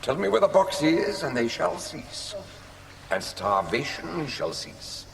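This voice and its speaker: An actor playing a suave, menacing sorcerer villain in an audio drama, theatrical and mockingly polite with drawn-out threats. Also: male voice, a little high, very animated, creaky, a crowd in the background, exaggerated voice. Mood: mockingly genial.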